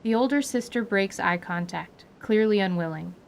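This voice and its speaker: A woman narrates a fantasy tale in scripted storytelling style. Her pitch sits in the middle range, and there is clear noise behind the voice. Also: moderate pace.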